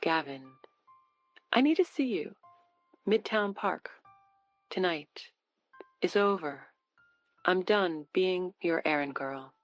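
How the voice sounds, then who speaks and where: Slow, low-voiced troubled noir heroine played by a woman. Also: animated, dull audio, soft background music. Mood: resolute. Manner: halting, short dramatic phrases. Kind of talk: noir radio drama acting.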